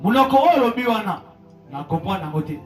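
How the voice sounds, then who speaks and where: Guy interpreting a sermon, quick and high, distant church echo. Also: very animated. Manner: quick echoing delivery that follows the preacher. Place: church hall. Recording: echoey church hall, far from mic, music behind.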